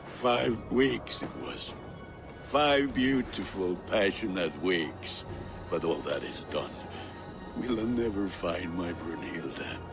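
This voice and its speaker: An actor playing a lovelorn, proud Norse blacksmith in a video game, melodramatic and boastful in manner. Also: male voice, low voice, very animated, takes it slowly, music in the background, exaggerated voice. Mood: lovelorn, dramatic. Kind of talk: video game character acting.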